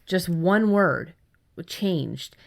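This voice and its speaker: Lowish-voiced woman in casual podcast chat. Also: animated, drawn-out words, vocal fry. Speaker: podcast co-host discussing writing.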